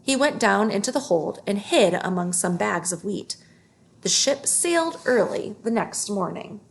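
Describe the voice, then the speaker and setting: A woman's voice: mid-range voice, animated, a little slow, creaky. A woman reading a children's story aloud, phrasing it carefully and expressively.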